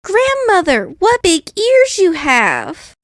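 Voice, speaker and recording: A woman voicing an innocent little village girl in a storybook reading, high-pitched and wide-eyed. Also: huge pitch swings, takes it slowly, no room sound, studio quality, heavily acted. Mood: wondering.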